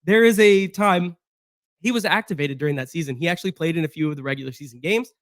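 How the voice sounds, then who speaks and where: A man with a hoarse voice from being sick, chatting casually through a solo football roster breakdown with fillers and restarts. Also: on the high side, quick pace, fairly bright tone, no room sound, clean studio sound. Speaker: sports podcast host.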